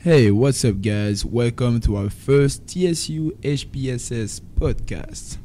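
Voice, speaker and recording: Mellow young man, French Caribbean accent. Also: medium pitch, slow pace, faint music behind. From Guadeloupe. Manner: relaxed, hesitant, many fillers. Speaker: podcast co-host. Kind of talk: casual chat.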